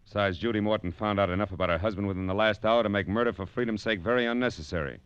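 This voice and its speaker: A man acting a hard-boiled American private eye with a low voice and a rapid clip. He is dry, slangy and sardonic, in classic 1940s radio detective style, on a dull vintage recording. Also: clean tone, no room sound, lightly acted. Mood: brisk, insistent. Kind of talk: 1940s radio detective drama acting with first-person narration.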